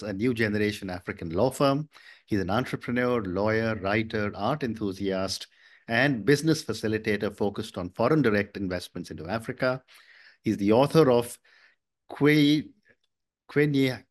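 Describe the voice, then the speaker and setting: A man hosting an interview podcast, speaking politely with formal introductions and frequent soft acknowledgments. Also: medium pitch. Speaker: podcast host.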